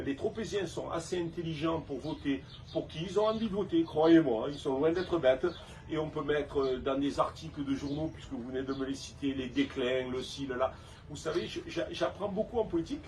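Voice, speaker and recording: Southern French man, slightly high-pitched, halting speech turning emphatic, distant noisy recording. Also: darkish tone. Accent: Provençal (southern French). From Saint-Tropez, France. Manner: hesitant, repeats words, then emphatic. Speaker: restaurant owner and council candidate. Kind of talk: casual interview.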